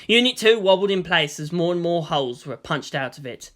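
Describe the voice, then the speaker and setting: A man's voice: a little high, expressive, a little fast, a bit bright. A man reading action fiction aloud as a dramatic, emphatic audiobook-style narrator. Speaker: story narrator.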